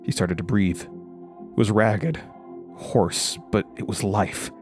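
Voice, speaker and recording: An actor playing a haunted, frightened man recounting a horror ordeal in a halting, intense way, as in an audio drama. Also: on the low side, a little mellow, soft background music. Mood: haunted, tense. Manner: halting, stammering over words, intense. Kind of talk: first-person horror audio drama narration.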